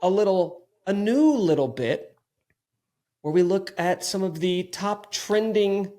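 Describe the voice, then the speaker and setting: A man with a fairly high voice hosts a casual sports podcast. He teases his co-host and bosses him around as a joke. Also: animated, slow, warm tone. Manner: playful, teasing, mock-bossy.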